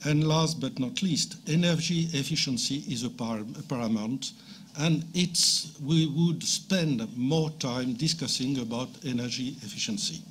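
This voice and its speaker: A man commenting point by point at a conference debate, deliberate and emphatic. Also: mid-range voice. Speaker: workshop participant. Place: conference meeting room. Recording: conference room with background noise. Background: faint room ambience.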